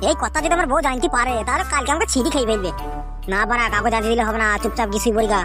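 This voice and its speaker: Man voicing a high-pitched, rattling, whining cartoon husband. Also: steady tone, rapid-fire, music in the background. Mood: anxious. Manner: pleading, wailing, excuse-making. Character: whining hard-drinking henpecked village husband, cowardly and sly. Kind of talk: comic Bengali cartoon dialogue.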